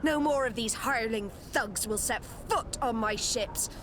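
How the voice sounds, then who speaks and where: An actress playing a stern, proud, indignant navy admiral in a video game, speaking in a formal, commanding manner. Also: female voice, on the high side, measured pace, noisy background, natural voice. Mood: indignant, threatening. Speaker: game character actor. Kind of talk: dramatic video game acting.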